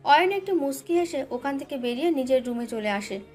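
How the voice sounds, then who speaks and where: A woman's voice: high voice, lively intonation, fairly quick, darkish tone, faint music behind. A woman narrating a scripted dramatic love story as its storyteller.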